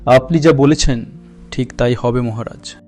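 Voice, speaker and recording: A man's voice: mid-range voice, fairly even intonation, measured pace, a little mellow, faint music behind, close to an everyday voice. A man voicing a kindly, generous village merchant in a cartoon fairy tale, speaking in an earnest way. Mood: respectful.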